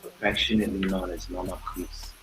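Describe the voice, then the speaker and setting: A man who grew up in Haiti, a bodywork practitioner being interviewed, speaking softly and agreeably with fillers. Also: sits low, little pitch movement, low-quality recording. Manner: soft-spoken, agreeable, pauses and fillers. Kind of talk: conversational interview. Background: music playing behind.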